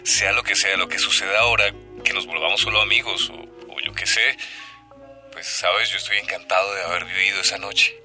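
A man's voice: low-pitched, steady tone, brisk, bright, forceful tone, soft background music. An actor with a Colombian accent playing an eager, pleading young man in love in a radio drama. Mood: wistful.

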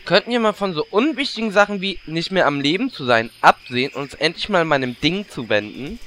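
A man's voice: a little high, lively intonation, a little fast, pushed and bright, slight background noise. A man playing a smarmy, self-absorbed, mocking orc in a parody audio drama. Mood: impatient. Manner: smarmy, taunting.

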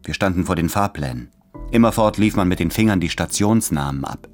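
A needy, anxious man in a literary radio drama. His voice is low, and he confesses his dread in a brooding manner. Music runs clearly underneath. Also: lively intonation, fairly quick. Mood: brooding, desperate. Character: anxious, fearful, needy man in love, tormented writer.

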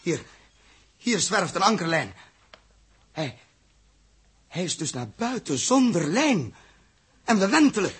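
A husky, high-pitched commanding captain. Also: male voice, very animated, slow, pauses often, faint music behind, exaggerated voice. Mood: urgent, commanding. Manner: commanding, clipped, theatrical delivery. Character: stern, commanding young spaceship captain. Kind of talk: 1960s radio drama acting. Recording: old 1960s radio recording.

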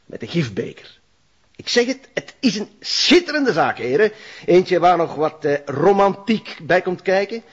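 Casting: a high-voiced, swooping Flemish forensic expert, jovial, pedantic oddball, radio drama. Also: male voice, very animated, unhurried, husky, full character voice. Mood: relishing. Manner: theatrical, rambling, repeats his words, pedantic. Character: eccentric, jovial male forensic expert, savouring a murder case. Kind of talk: audio drama acting. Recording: dull, muffled lo-fi recording.

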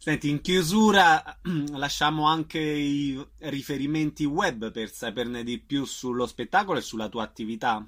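A man's voice: on the high side, a little slow, few pauses, fairly bright tone. A man hosting a radio show, interviewing guests in a conversational style.

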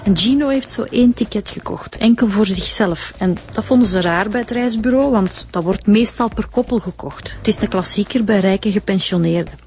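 An actress playing a brisk Flemish detective. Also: female voice, mid-range voice, darkish tone, a little hiss or hum, natural voice. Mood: briefing. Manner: brisk, matter-of-fact briefing. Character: Sam, young female detective. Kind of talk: radio drama acting.

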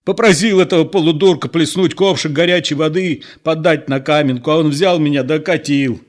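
A man's voice: a little high, a little fast, voice pushed up, lightly acted. Man doing an angry scalded village man. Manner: angry, mocking.

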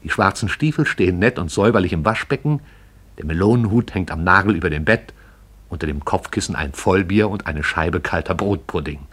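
A man narrating a radio play in a lyrical, measured way. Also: low-pitched, expressive, fairly bright tone, slightly rough. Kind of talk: poetic radio drama narration.

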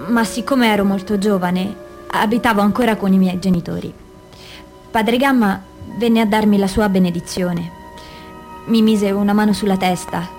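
An actress playing a female abuse survivor, giving grave testimony in measured phrases with deliberate pauses, as in radio theatre. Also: mid-range voice, creaky, darkish tone.

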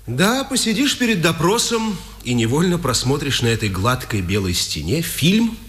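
A man's voice: on the high side, big pitch swings, normal speed, fairly bright tone. A man playing a defiant, idealistic imprisoned journalist in a 1950s Soviet radio drama, declaiming with passion. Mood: reflective, earnest. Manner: declamatory, impassioned. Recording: echoey old radio recording.

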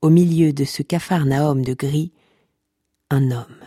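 An actor playing a mock-dramatic, deadpan narrator of a comic podcast fiction, speaking conspiratorially. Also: male voice, a little high, slow, husky, dry recording, studio quality. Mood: suspenseful. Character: mock-solemn tongue-in-cheek noir narrator.

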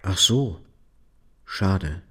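A subdued, low, husky middle-aged architect. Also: male voice, lively intonation, extremely slow, bright, forceful tone, heavily acted. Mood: subdued, curious. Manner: subdued, laconic. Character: disillusioned middle-aged architect, reserved father and husband. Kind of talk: German radio drama acting.

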